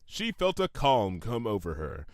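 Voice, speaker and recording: A man's voice: on the low side, huge pitch swings, a little slow, clean tone, no room sound, studio quality. A man narrating a comedic fan fiction story in a theatrical, emphatic manner, drawing phrases out for suspense.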